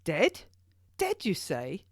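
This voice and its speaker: A woman's voice: on the low side, wildly swooping pitch, takes it slowly, a little mellow, husky, no room sound, clean studio sound, full character voice. A woman voicing a theatrical, pompous king of England who speaks in grand, exclamatory, archaic courtly phrases in an audiobook. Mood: astonished.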